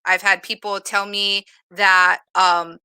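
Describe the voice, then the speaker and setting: Bright-voiced woman moderating a panel, dry sound. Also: mid-range voice, little pitch movement, takes it slowly, clear, resonant tone, dry recording. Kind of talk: reflective panel discussion.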